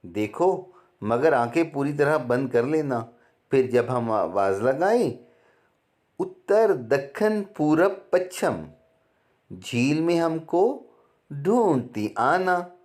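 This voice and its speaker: A man's voice: a little high, sing-song, slow, darkish tone. A man voicing a scheming female water bird, a sly false friend who coaxes in a sing-song way, in a children's story. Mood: playful coaxing.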